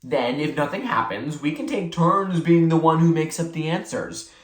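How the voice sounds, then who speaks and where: A storybook reader voicing a bossy, theatrical boy who schemes smoothly and likes to play high priest. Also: animated, moderate pace, reverberant, close to an everyday voice. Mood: coaxing, mysterious. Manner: solemn chanting, mock-innocent persuasion.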